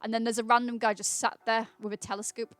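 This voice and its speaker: Higher-pitched woman reading aloud on stream. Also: flat delivery, fast, clean tone, no echo. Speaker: livestream host. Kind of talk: casual livestream read-aloud with chat replies.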